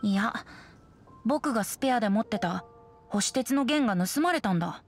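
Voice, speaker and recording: A boyish young bard in a video game, airy and whimsical. The voice is light and fairly high, playful and expressive, with faint music behind it. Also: female voice, normal speed. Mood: plaintive, light.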